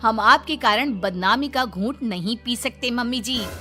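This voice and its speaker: Indignant, scolding daughter-in-law played by a woman, highish voice. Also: a little slow, sharp tone. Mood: insistent. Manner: accusing, emphatic. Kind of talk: Hindi cartoon story dramatic dialogue. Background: music.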